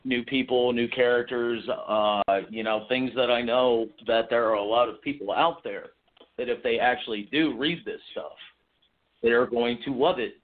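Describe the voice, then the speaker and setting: A man's voice: medium pitch, fairly even intonation, normal speed. A man in his forties from Texas, a guest author chatting in an interview, enthusiastic and rambling with many restarts. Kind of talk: casual interview chat. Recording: tinny phone line.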